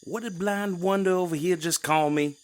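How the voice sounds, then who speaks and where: A man voicing a small, excitable frog-like druid in an audio drama. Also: high-pitched, normal speed, close to an everyday voice. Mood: indignant. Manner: folksy, colloquial, animated.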